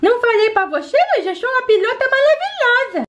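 A woman's voice: extremely high pitch, sing-song, quick pace, fairly bright tone. A woman voicing a greedy, cheeky little girl doll in a playful doll storytelling video. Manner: playful, childlike chatter. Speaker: channel host voicing dolls.

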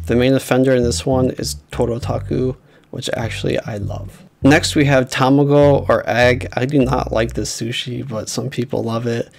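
A young man in his twenties giving relaxed, conversational tips to the camera from a small room at home, talking into a desk mic. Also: mid-range voice. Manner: relaxed, conversational, slangy, sometimes dry and drawn-out. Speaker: YouTuber giving food tips. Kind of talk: casual explainer talking to camera. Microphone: desk mic with furry windscreen. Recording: clear guitar music under the voice. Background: guitar music.

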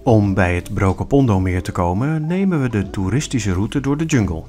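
A man reading a scripted travel voice-over in an informative, steady way. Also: fairly low pitch, moderate pace, soft background music. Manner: informative, reads facts steadily. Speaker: vlog narrator.

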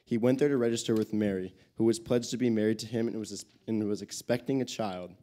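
A young man reading scripture aloud in church into a handheld microphone, a little haltingly. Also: fairly low pitch, fairly even intonation, dark tone. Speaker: scripture reader. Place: church sanctuary stage.

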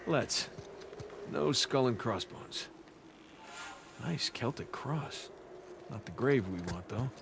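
Wry American treasure hunter over noisy ambience. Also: male voice, medium pitch, expressive, slow, vocal fry. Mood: focused, wry. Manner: wry, understated. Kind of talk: naturalistic video game acting. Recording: game ambience noise behind.

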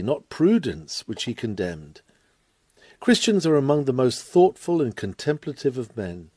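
A man reads a scripted sermon aloud in a measured, formal and emphatic oratorical manner. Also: fairly high pitch, warm tone, no room sound. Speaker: reader of a sermon. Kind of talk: expressive sermon reading, scripted narration.

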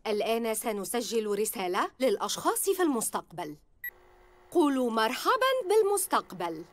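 A woman's voice: a little high, sing-song, moderate pace, a little hiss or hum. A woman voicing a kind, patient female antelope teacher in a dubbed cartoon. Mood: gentle. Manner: patient, encouraging.

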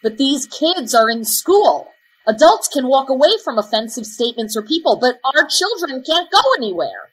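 A woman's voice: high-pitched, sing-song, moderate pace, fairly bright tone, slight background noise, low-quality recording. A woman playing a supportive, earnest school parent in a scripted video call dramatization. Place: home room, video call.

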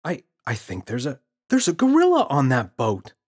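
Guy doing a excited little kid from a storybook, way up high, pleading, swoopy and frantic. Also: moderate pace, breathy edge. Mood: excited, insistent. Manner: frantic, insistent.

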